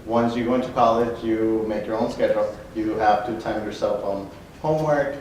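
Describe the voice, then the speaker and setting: A man's voice: medium pitch, darkish tone. A young man student on a panel, talking casually with many fillers into a handheld mic. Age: young adult. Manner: casual, lots of "like". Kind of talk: panel talk. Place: hotel meeting room. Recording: echoey room from far off, faint noise.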